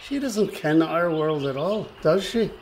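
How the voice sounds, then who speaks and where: A cranky little Irish leprechaun for a radio drama. The voice is fairly high and swoops all over, fussy and chatty, with faint birdsong behind. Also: male voice, a little slow, a little hiss or hum. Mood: teasing. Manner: grumbling, animated. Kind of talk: radio play acting. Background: birdsong, woodland ambience.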